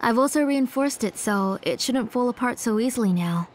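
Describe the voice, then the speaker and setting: A woman playing a gentle but strict, protective mother in a video game, speaking in a courteous, measured and firm way. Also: fairly high pitch, expressive, slight background noise, lightly acted. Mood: courteous, composed. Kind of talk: game dub acting.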